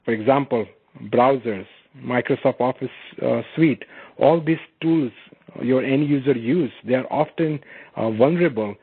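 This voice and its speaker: A man giving an explanatory product presentation on a conference call, speaking haltingly with frequent filled pauses. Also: mid-range voice, breathy edge. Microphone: conference call phone line. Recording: muffled conference phone line.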